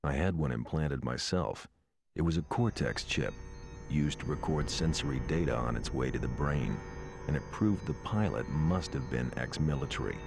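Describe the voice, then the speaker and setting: A man playing a world-weary police pilot, telling his own story as terse, brooding noir narration with a gravelly voice. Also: a bass voice, lively intonation, normal speed, music in the background, heavily acted. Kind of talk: noir first-person scripted narration.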